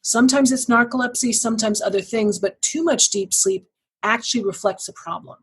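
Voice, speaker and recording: A woman guest giving articulate, measured explanations as a sleep clinician. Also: a little high, a bit bright, slightly rough. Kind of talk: explanatory interview answers.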